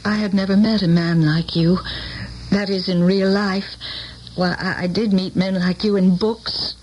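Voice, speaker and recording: An actress playing an intense, earnest and reproachful former student in a radio drama, speaking deliberately and dramatically. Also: female voice, mid-range voice, fairly even intonation, darkish tone, muffled recording, lightly acted. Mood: wistful. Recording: noisy old radio broadcast recording.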